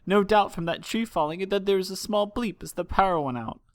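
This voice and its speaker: A man voicing a distraught mother pony in a fan fiction audiobook, halting and breathy as the character pleads for their child through sobs. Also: sits high, dry recording, clean studio sound, pitched above the performer's own voice, close to an everyday voice. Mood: shaken, tearful. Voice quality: breathy, trembling. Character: distraught, tearful mother pony pleading for her missing son.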